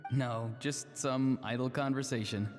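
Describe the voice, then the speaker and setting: A gentle, earnest young man from a video game, acted by a man, speaking at a middle pitch. His voice carries a long reverb over faint music. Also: fairly even intonation, measured pace, lightly acted. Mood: polite, calm.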